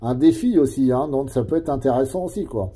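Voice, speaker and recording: A man's voice: mid-range voice, a little fast, noisy background. A man chatting casually as a CB radio operator, hesitant and conversational, using radio jargon.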